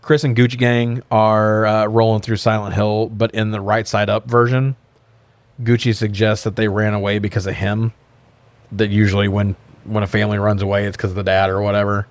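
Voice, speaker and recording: Low-pitched man, dry close sound, podcast co-host. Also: level tone, fairly quick, no room sound. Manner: profane, wry, slangy. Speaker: podcast co-host recapping a film. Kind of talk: casual comedic film recap chat.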